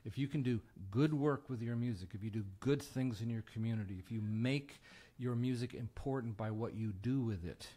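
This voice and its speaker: A man's voice: mid-range voice, normal speed, few pauses, mellow. A man, a guest folk musician, talking casually and earnestly in a plain-spoken way as he introduces a song. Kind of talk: casual talk introducing a song at a live show.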